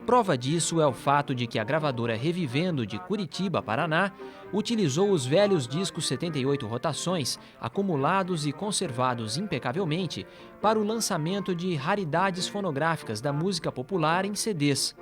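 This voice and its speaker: A man's voice: fairly high pitch, animated, normal speed. A man with a Brazilian accent reads scripted documentary narration. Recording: music playing behind the voice. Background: background music.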